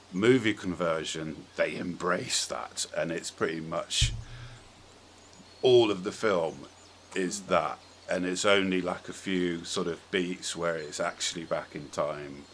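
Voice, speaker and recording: Male podcast host, very deep voice, conversational and tentative, some background noise. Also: a bit bright. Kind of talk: casual podcast discussion.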